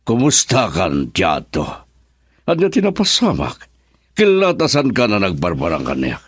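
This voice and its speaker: A man's voice: lowish voice, wildly swooping pitch, moderate pace, slightly rough, dry recording, studio quality, exaggerated voice. An actor playing a lovestruck, wistful businessman in an Ilocano radio drama. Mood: flustered. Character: lovestruck businessman wistfully pining for a lost love.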